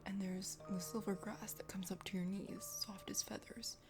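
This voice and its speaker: A proud young hunter prince from a dating game, acted out by a woman, with gravelly creak and a half-whispered delivery. He is haughty yet bashful and halting, easily flustered by his crush. Music plays clearly behind the voice. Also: mid-range voice, little pitch movement, pitched below the performer's own voice, natural voice. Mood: wistful, soft. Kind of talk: ASMR voice acting of a dating game.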